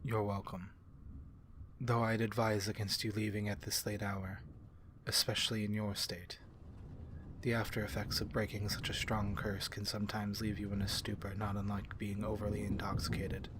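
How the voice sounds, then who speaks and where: A calm, courteous male vampire, played by a man for a fantasy ASMR roleplay. His voice is low and soft-spoken, with formal, measured phrasing that gently reassures. Faint ambient noise sits behind him. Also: natural voice. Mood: gentle, caring.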